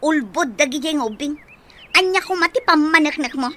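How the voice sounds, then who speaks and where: An actress playing a sharp-tongued, defensive woman in a radio drama, with an Ilocano accent. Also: high-pitched, a little fast, slight background noise. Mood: indignant, defensive. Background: faint music.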